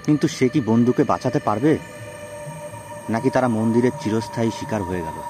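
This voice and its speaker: A male narrator tells a dramatic suspense tale. His voice is medium in pitch, with music playing behind it. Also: expressive, fairly quick. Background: background music.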